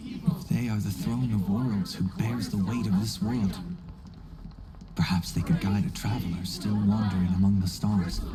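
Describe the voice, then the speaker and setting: A man's voice: lowish voice, fairly even intonation, moderate pace, over music, lightly acted. A man voicing a thoughtful, reverent philosopher type in a video game, formal and poetic in his phrasing. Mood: pensive, reverent.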